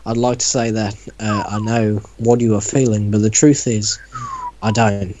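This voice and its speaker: A man's voice: fairly low pitch, steady tone, moderate pace, darkish tone, low-quality recording. A man casually reading a story aloud to friends, bantering and breaking in with sceptical, teasing comments. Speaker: reader in a group reaction show.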